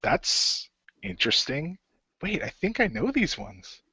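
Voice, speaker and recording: A man playing a skeptical new recruit to a hero team, talking in a casual, halting way. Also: on the high side, huge pitch swings, gravelly, bright, forceful tone, husky, no echo, pitched above the performer's own voice, full character voice. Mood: curious. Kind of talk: amateur radio play acting. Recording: dry, slightly muffled home recording.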